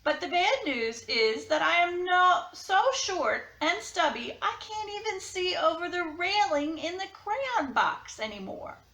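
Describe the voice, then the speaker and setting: A woman's voice: extremely high pitch, big pitch swings, measured pace, hardly any pauses, bright, forceful tone, echoey room, pitched above the performer's own voice, heavily acted. A woman voicing a short, stubby, weary blue crayon in a storybook read-aloud. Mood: weary, plaintive.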